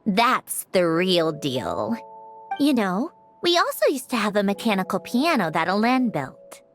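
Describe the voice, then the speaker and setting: A young woman playing a nostalgic, quietly wry female automaton in a video game, speaking in an articulate, reflective way. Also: mid-range voice, big pitch swings, a little slow, music in the background. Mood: fond, matter-of-fact. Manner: reflective, articulate, matter-of-fact. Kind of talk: video game character acting.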